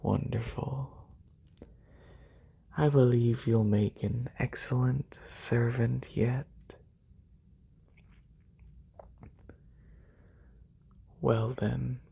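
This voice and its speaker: A performer plays a wealthy, haughty lamia noble in a soft-spoken ASMR roleplay. The voice is very breathy and often whispery, with a creaky edge. Every phrase comes extremely slowly and condescendingly, close to a binaural microphone. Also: mid-range voice, full character voice. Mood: condescending approval.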